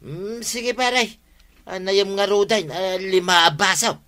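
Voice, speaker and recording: An actor with an Ilocano Filipino accent playing a wheedling, excitable neighbour who is always borrowing, in a radio comedy drama. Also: male voice, high-pitched, takes it slowly, full character voice. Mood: pleading.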